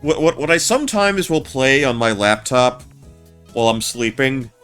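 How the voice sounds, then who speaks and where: A man streaming a game, chatting casually in a naturally low voice, often stammering and restarting his sentences. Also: lively intonation, moderate pace, a bit bright, smooth. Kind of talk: casual let's-play commentary. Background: game music.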